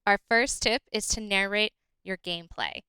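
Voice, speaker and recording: Clear bright woman explaining tips. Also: mid-range voice, moderate pace, no room sound. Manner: steady, orderly explanation with light laughs. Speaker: guest presenter.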